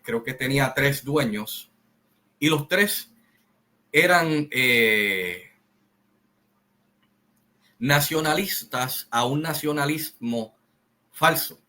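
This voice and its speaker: Middle-aged man, slow deliberate delivery, bright edge, solo commentary host. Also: mid-range voice. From Puerto Rico. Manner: slow, deliberate, repetitive, with many pauses and fillers. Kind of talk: opinionated monologue to camera.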